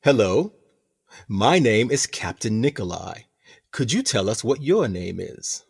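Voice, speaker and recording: An actor playing a smug, theatrical captain of a sinister prison colony in a radio play, speaking in a pompous, deliberate, condescending way. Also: male voice, fairly low pitch, very animated, vocal fry, slightly rough, exaggerated voice. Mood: coolly authoritative.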